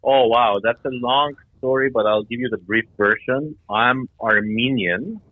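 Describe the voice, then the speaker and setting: A man's voice: mid-range voice, measured pace, dry recording. A middle-aged man from Iran and Denmark giving explanatory answers as an interview guest. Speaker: guest, startup founder. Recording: tinny phone-like line.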